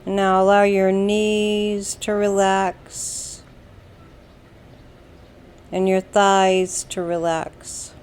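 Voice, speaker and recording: Very slow, smooth American woman guiding meditation. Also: medium pitch. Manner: calm, soothing, deliberate instruction with long pauses. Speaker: guided meditation leader. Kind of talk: slow guided meditation narration.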